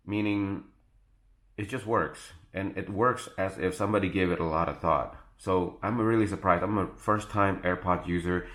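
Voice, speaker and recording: A man giving a casual, unscripted gadget review, explaining things in a halting, self-correcting way. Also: low-pitched, fairly bright tone. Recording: echoey, roomy sound.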